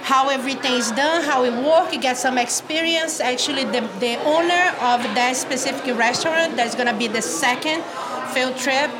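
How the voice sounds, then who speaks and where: High-voiced Brazilian woman. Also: moderate pace, music in the background, poor audio. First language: Portuguese. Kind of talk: conversational interview, explaining programs. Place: video call.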